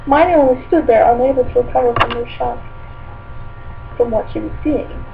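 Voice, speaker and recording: A woman's voice: on the high side, normal speed, creaky. A woman voicing a dreamy, oddball teenage witch girl who reads fan fiction aloud in character, with airy, politely curious, rambling asides. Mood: dreamy reading. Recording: tinny phone-like sound with faint hum.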